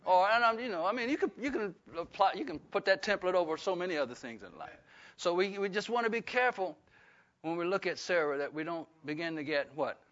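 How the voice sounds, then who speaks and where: A man's voice: high-pitched, animated, quick pace, fairly bright tone. An older man, preaching a folksy Bible-study sermon full of fillers and restarts. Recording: dull lo-fi recording.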